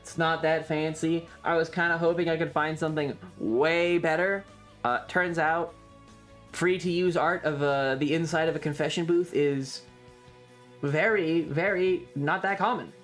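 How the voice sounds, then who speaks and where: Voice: medium pitch, steady tone, a little slow. A streamer chatting casually in a rambling, wry and jokey, mildly crude way. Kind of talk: casual livestream chat. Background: background music.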